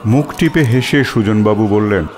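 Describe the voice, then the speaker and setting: A man narrating a scripted detective story as an expressive, descriptive storyteller. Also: on the low side, moderate pace, a little hiss or hum.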